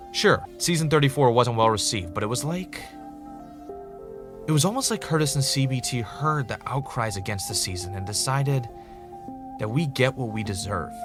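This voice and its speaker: A man's voice: medium pitch, natural voice. A man playing an anxious, obsessive fan in a fiction podcast, telling the story in an earnest, rambling way. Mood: bitter, reflective. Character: obsessive, anxious male fan investigating a cancelled show. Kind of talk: fiction podcast narration, conversational.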